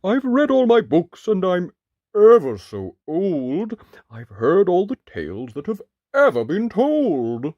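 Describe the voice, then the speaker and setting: A man voicing a very old storybook giant, hesitant and loud, drawing out his words. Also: high voice, huge pitch swings, dry recording, highs cut off, voice pushed up, full character voice. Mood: hesitant pleading. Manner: halting, drawn-out, loud.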